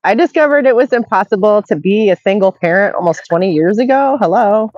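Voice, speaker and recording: A woman's voice: mid-range voice, expressive, fairly quick, darkish tone, dry recording, dull audio. A middle-aged woman hosting a casual call, chatty and tired from being sick, reading chat messages aloud. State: tired, recovering from illness. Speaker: channel host.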